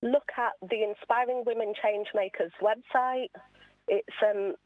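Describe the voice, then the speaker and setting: A woman's voice: on the high side, fairly even intonation, a little slow, no room sound, phone-quality sound. A woman being interviewed on radio as an awards founder, explaining things earnestly in a conversational way.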